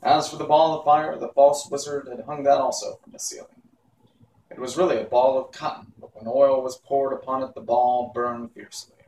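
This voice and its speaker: A man's voice: mid-range voice, fairly even intonation, moderate pace, a little mellow. A man narrating a children's novel aloud as an amateur storybook reader. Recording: roomy echo, distant mic, faint noise.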